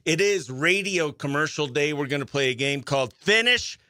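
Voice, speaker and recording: A man hosting a morning radio show, chatting excitably and playfully through banter and giveaway patter, with music faintly behind him. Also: on the high side, normal speed, dry recording, studio quality.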